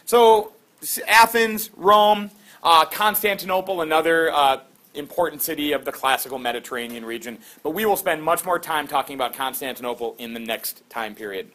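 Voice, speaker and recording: A middle-aged man teaching a class, lively and quizzing students with quick questions. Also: a little high.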